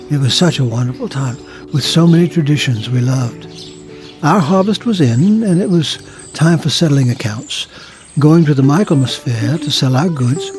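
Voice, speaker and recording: An actor playing a sick, gentle old grandfather in an audio drama, pious and nostalgic, reminiscing tenderly and without hurry. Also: mid-range voice, lightly acted. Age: older. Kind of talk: full-cast audio drama acting.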